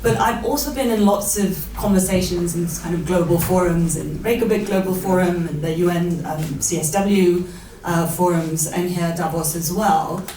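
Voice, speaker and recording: A woman from southern India puts a considered question to a panel. Her pitch is a touch low. The room echoes around her and there is faint noise behind. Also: fairly even intonation, moderate pace, mellow. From Chennai, India. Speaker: charity chief executive, audience questioner. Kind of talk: thoughtful question from the floor. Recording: echoey room, distant mic, background noise.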